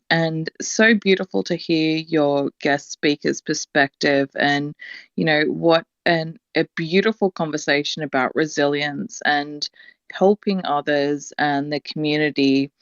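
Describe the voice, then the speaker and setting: A woman with an Australian accent, sharing reflective thoughts in a casual online discussion, with many ums and pauses. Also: low-pitched, no room sound, dull audio. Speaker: guest contributor.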